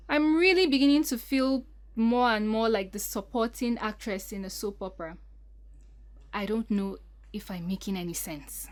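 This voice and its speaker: A woman's voice: on the high side, close to an everyday voice. A woman playing a troubled, polite young bride-to-be in an audio drama, speaking earnestly and hesitantly.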